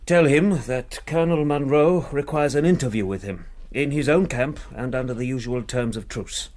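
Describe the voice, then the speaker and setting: An actor playing a proud, honourable British colonel with a formal, stern military manner in a period radio drama. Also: male voice, mid-range voice, normal speed, a little mellow, natural voice. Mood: commanding. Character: proud British colonel, bitter but honourable fort commander.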